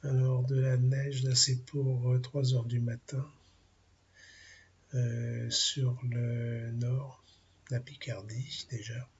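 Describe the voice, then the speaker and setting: A man with a French accent talks slowly through a weather briefing, his voice rough and gravelly and thick with a head cold. He pauses often, hunting for words, and the recording sounds dull and lo-fi. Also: medium pitch, little pitch movement, a little mellow. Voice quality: gravelly, husky. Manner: halting, many fillers, thinking aloud over weather maps. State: has a cold, clears throat. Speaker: amateur weather forecaster.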